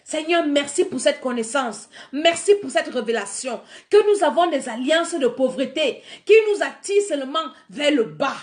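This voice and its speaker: A woman's voice: sits high, animated, moderate pace, hardly any pauses. Fervent woman preacher with a cold. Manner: fervent, swings between soft prayer and rising exhortation. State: has a cold. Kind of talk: sermon with guided prayer.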